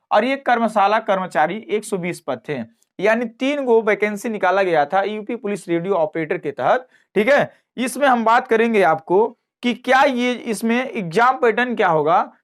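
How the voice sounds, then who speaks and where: A man teaching an online exam lesson, repeating phrases and checking with short questions as he explains. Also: high-pitched.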